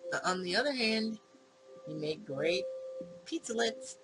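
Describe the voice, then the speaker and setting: A woman's voice: medium pitch, lively intonation, a little slow, poor audio. A woman hosting a gaming video, reading visual novel dialogue aloud in a casual, acted-out way with asides. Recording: music behind the voice. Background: game music.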